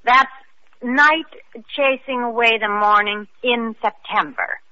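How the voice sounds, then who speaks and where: Sardonic, tipsy young wife for radio drama: high-pitched, intensely bright, slangy and rambling. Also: female voice, slow pace. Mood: tipsy, bitter. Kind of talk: old-time radio acting. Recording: muffled old radio recording.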